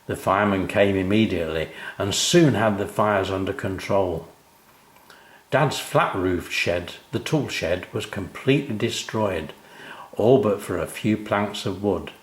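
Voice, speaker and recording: An older man narrating his own children's story in an expressive storytelling style. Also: fairly low pitch, measured pace, echoey room.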